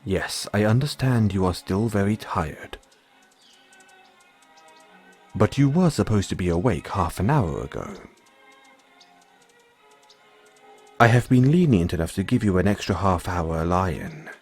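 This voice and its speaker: A man playing a polite, doting and gently teasing butler in an audio roleplay, speaking in a formal, measured way. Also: low-pitched, expressive, breathy edge, soft background music, exaggerated voice. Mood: polite, firm.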